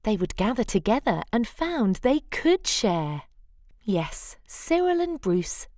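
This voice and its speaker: Female children's storyteller, playful and dramatic, unhurried delivery, very lively intonation. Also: fairly high pitch, no room sound, studio quality. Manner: dramatic, playful, deliberate phrasing. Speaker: storyteller reading a children's picture book. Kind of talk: expressive rhyming storybook read-aloud.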